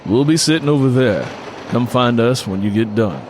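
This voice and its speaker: An irritable family man , acted out by a male narrator, talks bluntly and sarcastically in a storybook scene. His casual lines have animated intonation. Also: mid-range voice, normal speed, slight background noise, lightly acted. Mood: sarcastic, dismissive. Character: irritated, gruff husband and brother, adult man.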